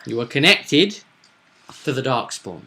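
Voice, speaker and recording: A man's voice: mid-range voice, sing-song, measured pace, sharp tone. A man chatting as a game master, recounting a tabletop roleplay session with emphatic, dramatic storytelling.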